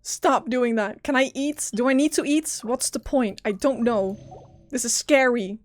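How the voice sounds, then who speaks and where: A woman streaming a video game, reacting casually in a playful, expressive way. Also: fairly high pitch, unhurried, dry recording. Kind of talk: casual live gameplay reactions.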